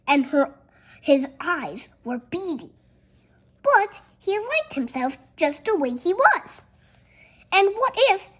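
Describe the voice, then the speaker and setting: Slow, high-pitched, lilting young storybook reader. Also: a bit bright. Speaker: storyteller reading a picture book. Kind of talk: read-aloud storybook narration with casual asides on the pictures.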